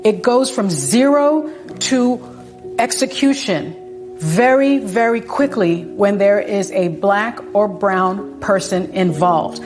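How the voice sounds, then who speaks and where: Emphatic, measured female commentator. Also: mid-range voice, over music. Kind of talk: televised political commentary.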